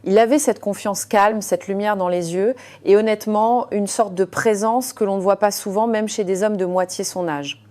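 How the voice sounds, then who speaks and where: Mellow-voiced woman telling a story. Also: medium pitch, fairly even intonation. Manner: measured, confiding, with frequent hesitant fillers. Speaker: narrator presenting as a surgeon. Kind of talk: first-person storytelling narration.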